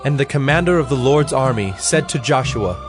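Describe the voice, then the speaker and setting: A man narrating scripture from a script in a formal, measured storytelling manner. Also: mid-range voice, lively intonation, over music.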